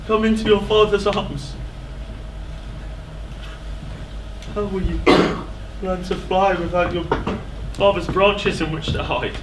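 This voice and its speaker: A man on stage playing an anguished, self-loathing fallen king in a classical tragedy, declaiming in halting, pleading phrases. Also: high-pitched. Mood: grieving, pleading. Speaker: stage actor. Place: indoor theatre stage. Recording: echoey theatre, distant microphone, faint noise.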